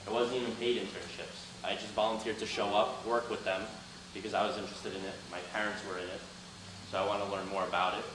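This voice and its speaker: A man's voice: on the low side, flat delivery, quick pace. Young guy guest speaker, earnest, talking to a class from across an echoey room. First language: Albanian. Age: young adult. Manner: earnest, motivational, loud at the start. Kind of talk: talk to students with Q&A. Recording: roomy, from a distance, faint noise.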